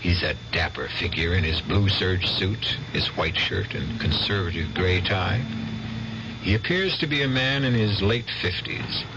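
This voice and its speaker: Theatrical, lowish-voiced male radio narrator. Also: noisy background. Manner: measured, theatrical, with pointed pauses. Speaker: radio drama host and narrator. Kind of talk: scripted dramatic storytelling narration. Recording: dull old radio recording. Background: loud music.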